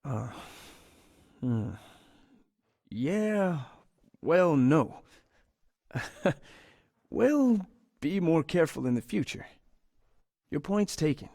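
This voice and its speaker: A man's voice: fairly high pitch, sing-song, extremely slow, vocal fry, pauses often, mellow, no room sound, studio quality, exaggerated voice. An actor playing an earnest university scientist in a video game, polite and a little hesitant as he explains things. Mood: enthused, sheepish. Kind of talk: video game dialogue acting.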